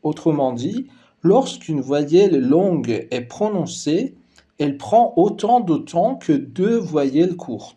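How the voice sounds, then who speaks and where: Persian-native guy explaining slowly and calmly, mellow voice, pitched a bit high. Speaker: language teacher. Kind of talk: scripted lesson narration.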